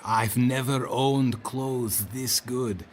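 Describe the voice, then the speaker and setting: A woman's voice: rumbling low, steady tone, very slow, a little hiss or hum, heavily acted. An actress playing a blunt, dry-humoured female commander in an audio drama. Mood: dry, approving.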